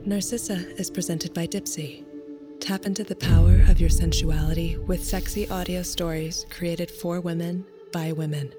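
A woman's voice: lowish voice, steady tone, moderate pace, music in the background. A woman announcer giving a scripted podcast introduction and sponsor read.

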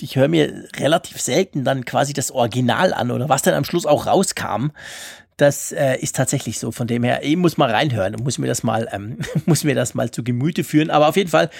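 Gravelly Swiss-accented man chatting on a podcast. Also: mid-range voice, dry recording, studio quality. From Switzerland. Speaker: podcast co-host. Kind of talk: casual tech podcast chat.

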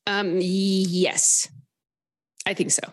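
Woman from socal rambling thru a story on a call-in show, lots of likes and you-knows, mid pitch. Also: extremely slow. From Southern California. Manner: animated, rambling, heavy use of filler words. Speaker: caller sharing a paranormal story. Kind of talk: casual storytelling on a phone-in podcast.

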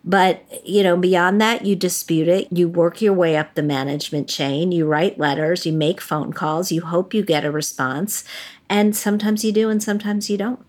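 A woman's voice: on the low side, fairly even intonation, a little mellow. Woman hosting a money podcast. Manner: brisk, conversational, plain-spoken. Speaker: podcast host. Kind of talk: casual chat and read ads.

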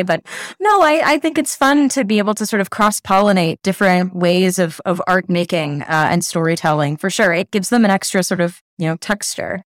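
A woman's voice: mid-range voice, no room sound. Enthusiastic woman author, dry sound. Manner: enthusiastic, with frequent fillers. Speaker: author guest. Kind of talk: casual interview chat.

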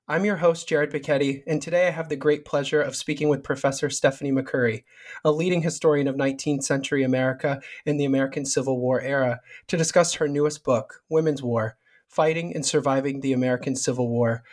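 A man hosting a book podcast, reading a formal introduction and asking interview questions. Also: mid-range voice. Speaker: podcast host.

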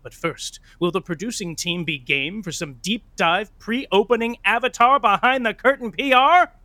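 An actor playing a flamboyant, gushing narrator of a Broadway comedy, with an arch, dramatic delivery. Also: male voice, sits high, moderate pace, pushed and bright, dry recording. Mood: arch, teasing. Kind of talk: theatrical comic narration.

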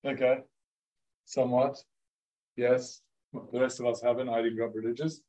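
A man's voice: mid-range voice, level tone, moderate pace, warm tone. A man who is a rabbi giving an informal lecture to a live group of students. He talks casually, fills his sentences with "like" and keeps asking the room questions. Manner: casual and chatty, many "like" fillers, questions to the audience. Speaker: rabbi teaching a class.

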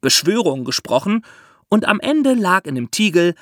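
A man hosting a podcast, explaining step by step in lively storytelling for children with theatrical emphasis. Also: high voice, moderate pace, no echo, studio quality.

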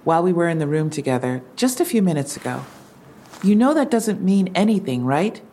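An actress playing a gentle female police officer who speaks softly and carefully. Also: on the low side, big pitch swings, fairly quick. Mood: careful, reassuring. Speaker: police officer in an audio drama. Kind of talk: dramatic dialogue. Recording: noticeable ambient background noise.

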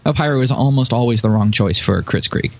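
A man's voice: medium pitch, a little fast, gravelly. A man with a mid-range voice talks as a podcast panelist in a casual gaming discussion. He explains his points with emphasis and walks through examples as he goes.

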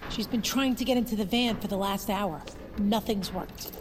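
A woman's voice: mid-range voice, moderate pace, long reverb tail, background noise, natural voice. An actress playing a middle-aged, motherly, coaxing mom in an audio comedy drama. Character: doting middle-aged mother, unflappable and fussing.

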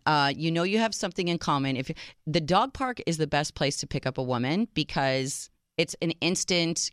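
Low-voiced woman co-hosting radio. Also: no room sound, clean studio sound. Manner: lively, playful, laughs easily. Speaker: radio show co-host. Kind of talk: casual radio talk show chat. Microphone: studio microphone.

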